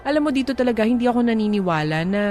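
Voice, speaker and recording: A woman's voice: a little high, lively intonation, rapid-fire, darkish tone. A woman with a Filipino accent giving casual, emphatic relationship commentary as a radio co-host, switching into English at times. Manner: emphatic, conversational, mixes Tagalog and English. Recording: clear background noise.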